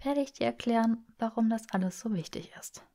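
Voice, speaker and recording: A woman's voice: on the high side, fairly quick, creaky, dark tone, pitched above the performer's own voice. A woman voicing an eager, bookish young woman for an audiobook, breathless and emphatic as she gushes. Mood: soft, earnest. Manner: breathless, gushing, emphatic delivery. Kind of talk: acted audiobook dialogue.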